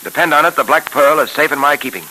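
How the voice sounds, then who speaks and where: A man's voice: a little high, quick pace, bright, forceful tone, poor audio. An American man acting a shrewd, protective detective with a clipped, authoritative delivery in a 1930s radio serial. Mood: courteous, assured. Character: shrewd, steady, protective adult male detective hero. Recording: hissy 1930s radio recording.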